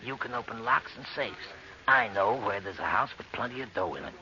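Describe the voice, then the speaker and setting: Gravelly, swaggering radio-drama crook. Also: male voice, fairly low pitch, expressive, brisk, bright, forceful tone, exaggerated voice. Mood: cocky, persuasive. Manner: slangy tough-guy talk, wheedling and bullying. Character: brash, callous male burglar, pushy and scornful. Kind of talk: old-time radio horror drama acting. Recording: muffled, noisy old radio recording.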